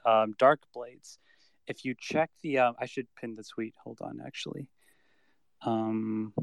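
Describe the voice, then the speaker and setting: Man hosting a podcast, smooth clear voice, dry sound, upbeat. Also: mid-range voice, dry recording. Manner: upbeat announcer delivery in the intro, relaxed after. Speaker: host. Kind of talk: podcast host, scripted intro then casual chat.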